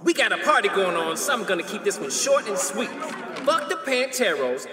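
An actor playing a brash, swaggering gang member shouting a party speech in a video game. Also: male voice, high voice, big pitch swings, moderate pace. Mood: hyped, defiant. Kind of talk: video game cutscene acting. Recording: echoey distant speech over crowd.

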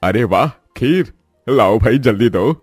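A man voicing a proud, happy village father in an animated moral story, with hearty exclamations. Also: fairly low pitch, unhurried, breathy edge, dry recording, studio quality, soft background music. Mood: eager.

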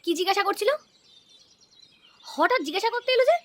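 A woman's voice: extremely high pitch, expressive, a little fast. A woman playing an anxious, kindly mother-in-law in a cartoon, motherly and fretful. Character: anxious, kindly middle-class mother-in-law of grown son. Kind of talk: cartoon voice acting, domestic moral story.

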